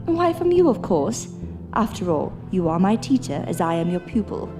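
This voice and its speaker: A woman's voice: on the low side, sing-song, normal speed, music in the background. A woman acting as an eager scientist and adventurer, a professor's pupil, in a period audio drama, with prim, earnest, old-fashioned formality. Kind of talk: period radio-play acting.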